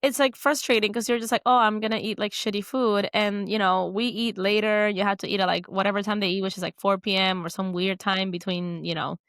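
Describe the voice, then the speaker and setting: Woman, podcast co-host, casual chat, filler-heavy, mid pitch, dry sound. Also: fairly even intonation, brisk, few pauses, a bit bright, no echo. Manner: chatty, many fillers and restarts.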